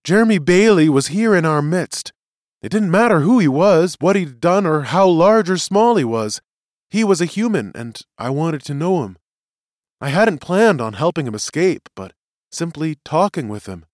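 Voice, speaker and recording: A man performs an earnest fantasy prisoner with a lightly raised voice. He sounds gentle and sincere as he reasons his case in a storybook court. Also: a little high, lively intonation, moderate pace, no room sound, clean studio sound, pitched above the performer's own voice, close to an everyday voice. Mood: sincere, pleading. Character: earnest, gentle male prisoner sympathetic to humans.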